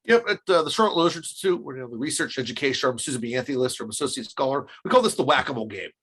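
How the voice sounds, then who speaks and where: A man's voice: mid-range voice, expressive, very fast, a bit bright, breathy edge. A man giving explanatory interview commentary as a research scholar, emphatic and often restating his points. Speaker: podcast guest, research scholar.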